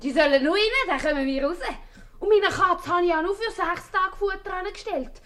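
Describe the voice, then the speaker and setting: A woman playing a sharp-tongued, nagging wife with a Swiss German accent in a 1950s radio comedy. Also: very high voice, normal speed. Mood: cross, demanding.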